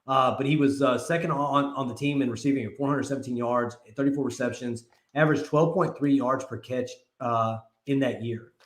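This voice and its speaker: A man's voice: medium pitch, fairly quick, hardly any pauses. Casual man in an echoey room. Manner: animated, loose and rambling with filler words. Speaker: co-host. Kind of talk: casual sports talk show.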